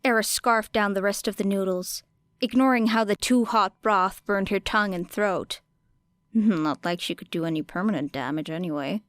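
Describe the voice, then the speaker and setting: A woman reading fiction aloud as an audiobook narrator, with an expressive, wry and dramatic delivery. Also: medium pitch, moderate pace, no room sound.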